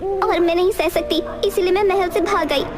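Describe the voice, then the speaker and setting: A woman voicing a distressed, pleading young princess in an animated fairy tale. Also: extremely high pitch, moderate pace. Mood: desperate. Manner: pleading, dramatic.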